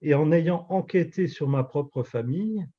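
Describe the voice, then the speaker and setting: Measured Alsatian pastor in his fifties. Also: a little high, highs cut off. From Alsace, France. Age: 50s. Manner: measured, reflective, with frequent hesitations. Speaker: pastor and author. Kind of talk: interview answers.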